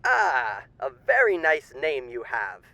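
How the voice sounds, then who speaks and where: A man's voice: medium pitch, sing-song, takes it slowly, vocal fry, bright, forceful tone, dry recording, exaggerated voice. A man playing a suave demon overlord with theatrical, old-fashioned genteel diction in an audio roleplay. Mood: smug, courteous. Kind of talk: ASMR character roleplay.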